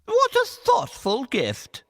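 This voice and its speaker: A man playing a plump, gracious, food-loving king with a regal, expressive manner in a children's storybook. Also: very high voice, slow, voice pushed up, full character voice. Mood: pleased, gracious.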